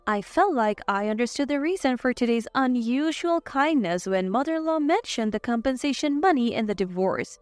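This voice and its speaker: A woman's voice: a little high, animated, moderate pace, few pauses, a little mellow, no echo, faint music behind. A woman reading a scripted first-person story as its narrator.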